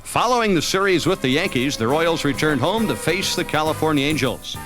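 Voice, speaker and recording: An American man reading scripted sports documentary narration as the narrator of a highlight film. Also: expressive, a little fast. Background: background music.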